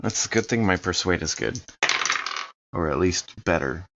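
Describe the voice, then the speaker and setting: A man with a lowish voice plays in a tabletop roleplay session, casually narrating his own character's moves. The recording is a bit dull and lo-fi. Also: lively intonation, normal speed, very noisy. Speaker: player in a tabletop roleplay game. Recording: dull, lo-fi microphone sound.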